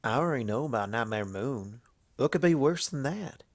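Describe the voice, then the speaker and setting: A man's voice: medium pitch, lively intonation, no room sound. Guy doing a earnest country pony gal, bit hesitant, folksy plain talk, storybook reading, dry sound. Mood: quietly probing. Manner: plain, folksy, halting speech. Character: earnest, hesitant female farm pony, loyal and forgiving. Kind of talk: fan fiction storybook reading.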